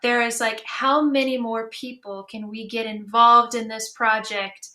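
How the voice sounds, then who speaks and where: A woman with a fairly high, bright and forceful voice moderates a panel. She talks in a conversational style, as a fellow creator adding to the discussion. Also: fairly even intonation, measured pace.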